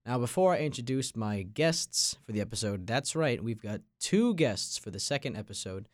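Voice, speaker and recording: A man's voice: medium pitch, lively intonation, moderate pace, dry recording, studio quality. A young man in his late teens from Long Island, New York, hosting a podcast and interviewing guests in a conversational way. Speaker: podcast host. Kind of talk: scripted intro and casual interview.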